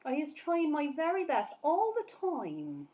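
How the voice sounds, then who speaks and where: A woman voicing a huge, very old, kindly male giant who talks slowly and muddles his words. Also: high-pitched, wildly swooping pitch, mellow, pitched above the performer's own voice, exaggerated voice. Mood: earnest. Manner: slow, jumbled giant talk.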